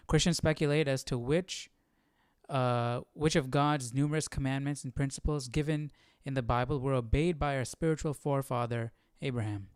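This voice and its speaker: Man, livestream study host, informal, curious, crisp voice, close dry sound, thinking aloud while reading. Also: medium pitch, no room sound. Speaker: host of a live Bible study.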